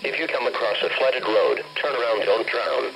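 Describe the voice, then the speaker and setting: Robotic guy voice reading weather alerts off a radio speaker, formal n measured, medium pitch, filmed on a camera. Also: expressive, vocal fry, over music, low-quality recording. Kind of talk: automated emergency weather bulletin. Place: carpeted room in a home. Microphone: camera held near the radio.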